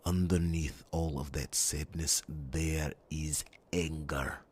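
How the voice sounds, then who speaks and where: Casting: grave warrior in ASMR fantasy roleplay, bottomless bass register, heavily breathy and rasping, deliberate delivery. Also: male voice, fairly even intonation, exaggerated voice. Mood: imploring, intense. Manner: solemn, deliberate, with dramatic pauses.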